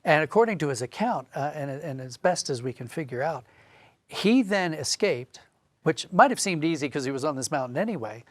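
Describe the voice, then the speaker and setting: Man, raised pitch, no room echo, conversational storytelling, emphatic, explanatory, interview guest. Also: animated, fairly quick.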